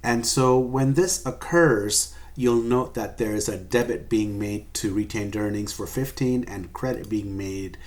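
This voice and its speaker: A man's voice: lowish voice, measured pace, few pauses, a little mellow. A man giving an explanatory recorded lecture as a professor, going step by step and stressing key points. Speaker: accounting professor.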